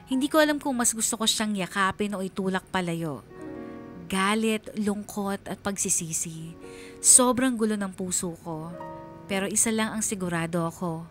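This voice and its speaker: An actress voicing a hurt young daughter with a Filipino accent, confiding in emotional first-person radio drama narration. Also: female voice, medium pitch, normal speed, faint music behind, lightly acted. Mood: sorrowful, weary. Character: heartbroken young daughter confessing anger and hurt.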